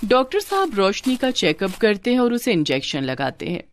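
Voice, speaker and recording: A woman's voice: mid-range voice, huge pitch swings, normal speed, noisy background. A woman reading scripted narration for a story.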